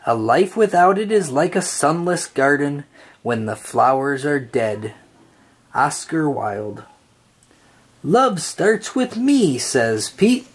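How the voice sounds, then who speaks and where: This is someone reading a picture book aloud for children. The voice is husky and moves up and down in a lively, sing-song way. The delivery is slow, with phrases stretched out for young listeners. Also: mid-range voice. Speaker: storybook reader.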